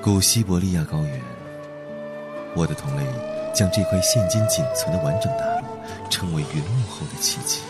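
A lonely, gentle whale, low in pitch and swinging expressively as he tells his story. He speaks with unhurried, reflective calm in radio drama style, over prominent music. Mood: quiet wonder. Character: solitary, gentle whale who narrates his own wandering. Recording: music under the voice.

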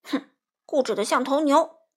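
Woman voicing a high, bossy, hot-tempered little bird. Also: animated, normal speed, husky. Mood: stubborn, furious. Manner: indignant, squabbling, shouting. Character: bossy, hot-tempered, boastful young male bird named Huawazi.

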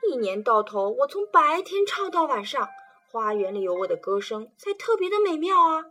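A woman's voice: high-pitched, sing-song, moderate pace, soft background music, full character voice. A woman voicing a hungry songbird that pleads for food and praises its own singing. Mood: pleading. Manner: loud, sing-song pleading. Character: hungry songbird, proud singer of the garden.

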